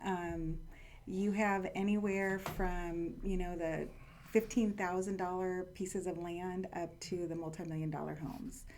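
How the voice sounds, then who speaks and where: A woman's voice: fairly low pitch, steady tone, measured pace, darkish tone, slight background noise. A woman in her forties from California, a real estate agent answering interview questions in a matter-of-fact way and laughing easily.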